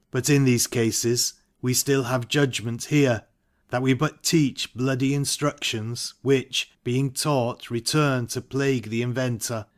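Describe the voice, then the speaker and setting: A man playing a hesitant, ambitious nobleman in a Shakespearean audio drama, speaking verse in a measured way. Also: mid-range voice, lightly acted.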